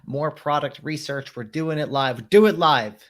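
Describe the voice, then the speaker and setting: A man's voice: a little high, measured pace, a bit bright. Playful laid-back man co-hosting. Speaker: co-host. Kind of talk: casual livestream chat.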